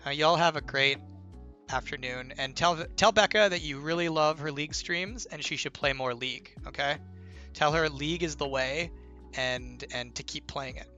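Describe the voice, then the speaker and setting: A man's voice: on the high side, normal speed, pushed and bright, highs cut off, soft background music. A young man who designs games, chatting casually to stream viewers, his voice slightly strained from illness and his talk rambling with lots of "like" and "right?". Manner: rambling explainer, heavy on "like" and "right?", playful teasing. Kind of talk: casual livestream Q&A.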